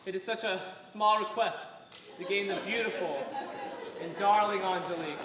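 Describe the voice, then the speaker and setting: A man's voice: high voice, normal speed, crowd noise, natural voice. An actor playing an earnest young suitor in a stage play, declaiming his lines. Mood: eager. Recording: echoey hall from far off.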